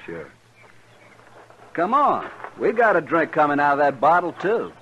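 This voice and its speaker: An actor playing a gruff, fair-minded Texas cattle drover with a folksy in a 1950s radio western. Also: male voice, fairly high pitch, huge pitch swings, unhurried, dull audio, over loud music. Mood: friendly, wry. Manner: plain, folksy. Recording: noisy old radio recording.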